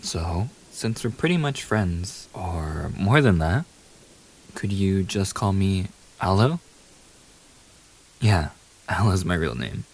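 Man acting a low-voiced, unhurried pop idol boyfriend. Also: breathy edge, full character voice, rough recording. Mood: relaxed, affectionate. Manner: casual, teasing, addresses the listener intimately. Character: famous young pop idol boyfriend, playful, affectionate, eager. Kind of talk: boyfriend ASMR audio roleplay. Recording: steady hiss behind the voice.

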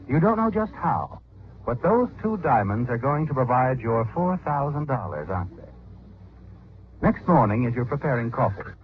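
An actor playing a knowing, insinuating narrator of an old radio mystery, telling the story in the second person. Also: male voice, mid-range voice, big pitch swings, moderate pace, slight background noise, natural voice. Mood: knowing, suspenseful. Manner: dramatic, insinuating. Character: knowing, insinuating male narrator goading the guilty protagonist. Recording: tinny old radio recording.

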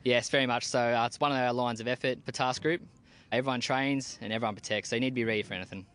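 A man's voice: medium pitch, steady tone, quick pace, fairly bright tone, smooth. Man, young adult, Australian accent, army team leader, plain-spoken, casual slang, outdoors. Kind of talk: casual interview. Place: outdoors at a military base.

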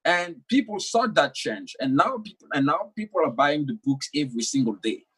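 A man's voice: on the high side, big pitch swings, a little fast, a bit bright, breathy edge, poor audio. A young man whose first language is French, speaking earnestly as an author in an interview and often repeating words while he thinks. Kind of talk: conversational interview.

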